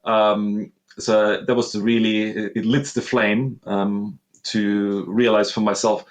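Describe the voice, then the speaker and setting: A man in his thirties with an Austrian accent speaks as an interview guest in a somewhat low voice. He tells his story in an earnest, reflective way. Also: little pitch movement, slow pace. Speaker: guest, master sommelier. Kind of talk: conversational interview.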